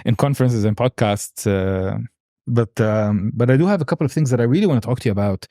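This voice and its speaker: A man's voice: mid-range voice, quick pace, warm tone, dry recording, studio quality. A man hosting a podcast in a casual, informal and rambling interview chat. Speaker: podcast host.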